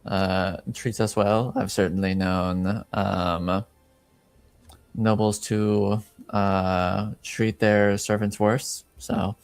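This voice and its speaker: A man's voice: on the low side, level tone, extremely slow, vocal fry, smooth, no echo, heavily acted. A man voicing a reserved servant in a tabletop fantasy game, hesitant and guarded.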